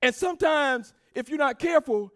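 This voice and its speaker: A woman pastor delivering a sermon, emphatic and rousing, calling out to the congregation and swinging from low asides into shouted, sing-song cadences. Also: expressive, normal speed. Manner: emphatic preaching with call-and-response.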